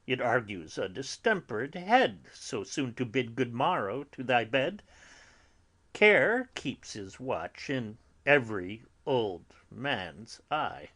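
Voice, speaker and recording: Man playing a slow, theatrical, philosophical old friar. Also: medium pitch, a bit bright, heavily acted. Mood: fond, chiding. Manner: measured, deliberate, with dramatic pauses and sudden swells. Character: elderly, philosophical friar, fatherly and gently chiding. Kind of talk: classical verse drama, theatrical dramatic reading.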